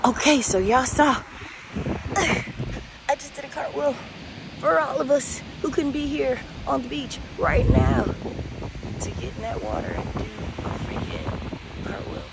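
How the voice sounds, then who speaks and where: A woman's voice: sits high, big pitch swings, measured pace, creaky, long pauses. A woman, chatting casually and rambling straight to her viewers as she walks along a beach. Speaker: vlogger. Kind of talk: casual walking vlog. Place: outdoors on a windy beach. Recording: loud wind and wave noise. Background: wind and surf.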